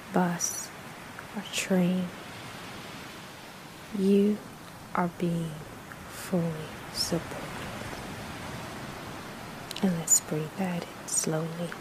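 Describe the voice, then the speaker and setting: A woman narrating a guided meditation in a soothing, hushed manner, with breathy phrase endings and long pauses between short phrases. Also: on the low side, background noise.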